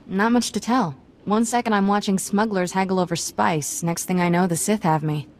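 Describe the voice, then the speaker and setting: An actress playing a plucky young Jedi apprentice in a video game cutscene, wry and irreverent. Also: female voice, medium pitch, fairly even intonation, moderate pace, lightly acted.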